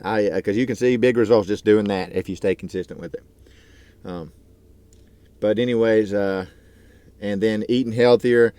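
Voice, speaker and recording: A man's voice: lowish voice, darkish tone, no room sound. A man in his thirties talking casually to his vlog from his truck, earnest and rambling with lots of fillers. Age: 30s. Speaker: truck driver and YouTuber.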